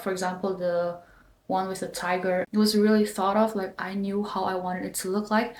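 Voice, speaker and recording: A young woman, not a native English speaker, talking casually as a design student vlogger, relaxed and full of fillers. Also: medium pitch. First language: not English, not German. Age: young adult. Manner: relaxed, filler-heavy, with frequent likes and ums. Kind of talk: casual explanatory vlog. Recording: echoey room, faint background noise.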